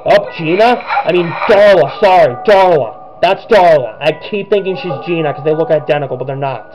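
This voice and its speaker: A young man talks through a game in a nervous, rambling way, restarting his sentences and filling pauses. His voice sits fairly high and cracks now and then. The recording sounds dull and lo-fi, with game music playing behind him. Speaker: gamer. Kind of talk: let's play commentary.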